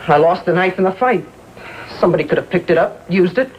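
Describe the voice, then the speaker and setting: A man's voice: sits high, animated, quick pace. An American man playing a defensive seventeen-year-old gang youth in a 1950s TV drama, curt and argumentative. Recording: muffled, faintly noisy vintage TV soundtrack.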